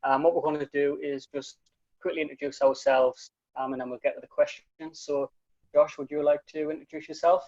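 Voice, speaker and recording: A man's voice: a little high, level tone, brisk, a little mellow, highs cut off. A young man from the UK with a broad British accent, reading out community questions over a video call with a friendly manner and lots of ums. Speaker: community council member asking questions. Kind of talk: casual video call interview. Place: small room at home. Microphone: earphone headset on video call.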